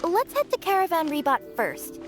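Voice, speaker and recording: Casting: earnest girlish anime game courier, extremely high voice, set over music. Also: sing-song, normal speed. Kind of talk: anime video game voice acting.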